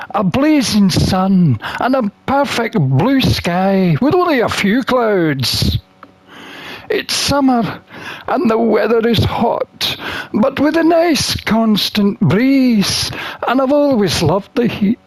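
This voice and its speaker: A wine-loving satyr herbalist voiced by a game streamer narrates a visual novel in first person. The delivery is dreamy and slow, with lively pitch movement and a close, dry sound. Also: dry recording, poor audio. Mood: serene.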